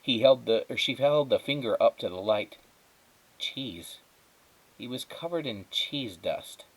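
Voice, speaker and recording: A man narrates a children's book in a medium-pitched voice with lively, wide-ranging intonation. His phrasing is measured and dramatic, with emphatic pauses, like a teacher reading to a class. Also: slight background noise, low-quality recording. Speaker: teacher reading aloud to students. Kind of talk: expressive children's storybook narration.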